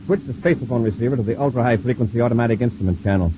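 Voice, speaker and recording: An actor playing a steady, authoritative male space patrol commander in an old-time radio serial. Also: medium pitch, racing, lightly acted. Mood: brisk command. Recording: noisy muffled vintage radio recording.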